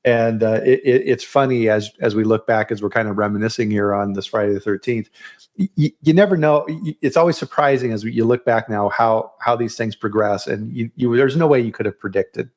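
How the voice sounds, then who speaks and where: A man's voice: mid-range voice, fairly quick, darkish tone. Man, podcast host, casual chatty talk, stammering restarts, mid-range voice. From United States. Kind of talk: casual live chat.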